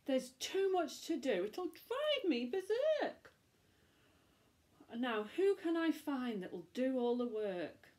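Storybook casting: a snappish, squeaky old witch, read by a woman, bossy and fretful, swinging up and down. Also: sing-song, slow pace, darkish tone, exaggerated voice. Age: older. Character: bossy, fussy old witch throwing a party.

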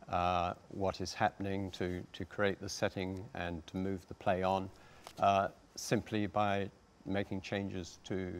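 Low-voiced, measured middle-aged man. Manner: measured, deliberate, formal. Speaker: panellist, radio dramatist. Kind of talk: explanatory panel talk. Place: theatre stage.

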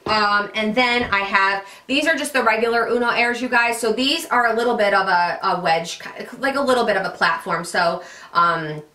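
Chatty, bright-voiced woman vlogger, stuffed up. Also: mid-range voice, normal speed, roomy sound. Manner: chatty, gushing, many fillers. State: stuffed up, slightly nasal. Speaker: beauty vlogger. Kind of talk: casual product recommendations to camera. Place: pink bedroom studio with vanity mirror and lit tree.

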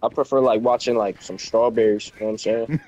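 A man's voice: medium pitch, fairly even intonation, fast talker, dull audio, a crowd in the background. A man in his twenties chatting with casual, slangy banter over gaming voice chat. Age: 20s. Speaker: gamer. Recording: slightly noisy game voice chat.

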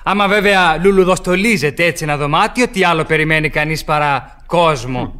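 An actor playing a pushy, mocking young student striker in a Greek radio theatre play. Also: male voice, on the high side, bright, forceful tone, smooth.

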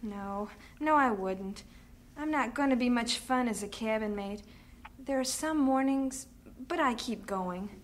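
An actress playing a young missionary widow, quiet and composed through her grief. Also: a little high, expressive, a little slow. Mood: grieving. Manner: quiet, grieving but composed. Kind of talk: radio drama acting.

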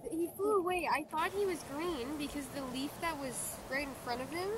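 A woman chatting playfully on a walk through an outdoor park, recorded on a handheld camera, often speaking in a hushed voice. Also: high-pitched, fairly quick, background noise. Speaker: family member on a walk. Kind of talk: casual chat.

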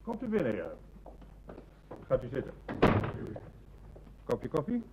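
Courteous bank manager, Netherlands Dutch, animated pitch, dull old radio recording. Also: male voice, slow, very noisy. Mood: genial, polite.

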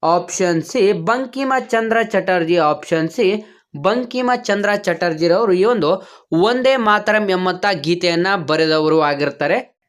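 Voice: medium pitch, slow pace. An online exam-prep teacher and channel host presenting a quiz lesson to viewers, in an instructional manner with repeated phrases. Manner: repeats questions and answers, instructional.

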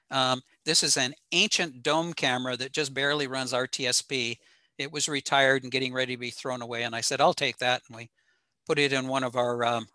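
An older man giving an informal technical slide presentation in a halting, matter-of-fact way. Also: mid-range voice, sharp tone, dry recording. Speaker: presenter at an amateur radio talk. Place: small room at home, on a video call.

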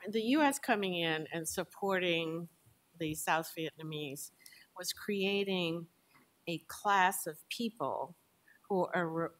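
An older woman answering a question on a panel in a measured, thoughtful way, speaking into a table mic in a large lecture hall. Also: on the low side. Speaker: veteran panelist.

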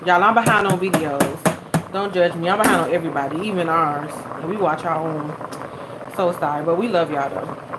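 A woman's voice: on the low side, normal speed. A young woman hosting a live cooking stream from her home kitchen, chatting while she cooks and thanking viewers by name. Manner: chatty, reads viewer comments and thanks them. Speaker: cooking channel host. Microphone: phone camera held over the stove. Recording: phone audio with background noise.

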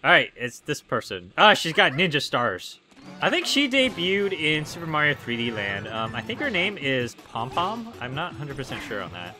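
Hoarse young male let's player, animated and silly, game music in the mix. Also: a little high, moderate pace. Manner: goofy, excitable, lots of ums and likes. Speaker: let's play gamer. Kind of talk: casual gameplay commentary.

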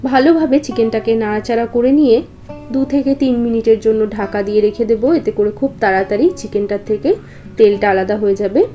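A woman's voice: on the high side, steady tone, fast talker, dark tone. A woman narrating a recipe step by step as a cooking host, in an instructional, explanatory way. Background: guitar background music.

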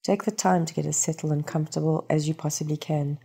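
A woman narrates a guided meditation with a mellow, dark tone. Her voice is breathy and husky, with a noticeable creak. She gives instructions calmly and soothingly, never hurried. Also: low voice. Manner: calm, soothing, unhurried instruction.

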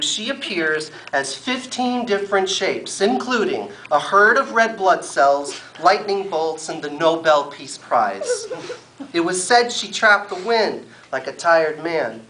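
A middle-aged man giving a live poetry reading with wry, deliberate phrasing, recorded in a room by a camera a few metres away. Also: high voice, pushed and bright, slight background noise, low-quality recording. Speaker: poet reading at an event. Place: wood-furnished library room, at a lectern.

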